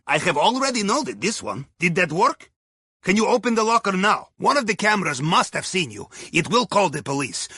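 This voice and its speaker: A man's voice: sits high, bright, forceful tone, breathy edge, dry recording, clean studio sound, full character voice. An actor playing a shrewd, genial Russian submarine crewman in a video game, guiding missions in clipped, dryly conspiratorial phrases with a Russian accent. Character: shrewd, genial male Russian submarine captain's aide and heist planner. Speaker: mission guide over comms.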